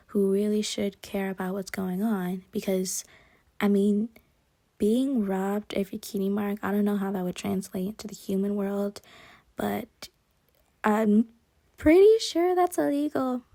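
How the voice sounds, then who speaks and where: A woman giving casual, rambling commentary while she draws, soft and quiet, self-deprecating and prone to hesitant restarts. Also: mid-range voice, fairly even intonation, unhurried, no room sound. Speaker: digital artist and channel creator. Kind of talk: casual commentary over a speedpaint.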